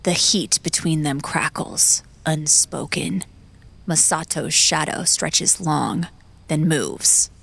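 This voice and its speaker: A woman's voice: low voice, slow pace, creaky, a bit bright, breathy edge. A woman narrating an audiobook in a solemn, poetic, dramatic style.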